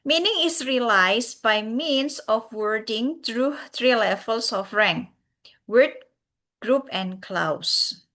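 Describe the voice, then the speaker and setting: A woman from Central Java with a Javanese accent, giving a recorded English lecture, pausing often with "uh" and "yeah" and addressing the class as everyone. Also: on the high side, animated, pushed and bright. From Central Java, Indonesia. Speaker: university lecturer.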